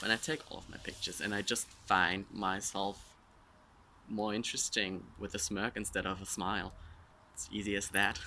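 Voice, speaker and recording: A young man in his twenties with a German accent chats candidly through a follower Q&A, joking at his own expense. His voice sits fairly low yet sounds bright and forceful. A faint hiss runs under the recording. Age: 20s. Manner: frank, self-mocking, rambling with fillers. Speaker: vlogger answering follower questions. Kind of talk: casual Q&A monologue.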